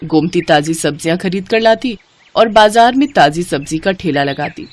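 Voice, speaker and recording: A woman's voice: lowish voice, lively intonation, moderate pace, slight background noise. A woman narrating a scripted moral story as the storyteller.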